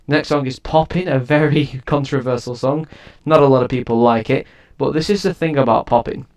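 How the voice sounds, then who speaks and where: A man's voice: mid-range voice, a little fast, a little mellow. A man giving a casual spoken review of an album, rambling and often restarting his sentences. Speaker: music reviewer and producer.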